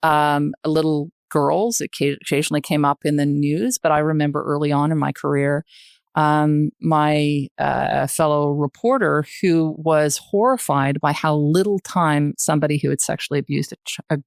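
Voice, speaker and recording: An older woman with a Canadian accent, a guest speaking reflectively in a podcast interview, hesitant and thoughtful, often pausing mid-sentence. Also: low-pitched, fairly even intonation, no echo, clean studio sound. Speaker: podcast guest, retired journalist.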